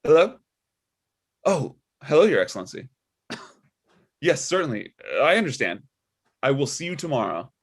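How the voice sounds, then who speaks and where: A man playing an awkward, earnest widowed father and church deacon in a comedy script read, speaking haltingly. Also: on the high side, sing-song, slightly rough, heavily acted. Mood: uneasy. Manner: hesitant, halting.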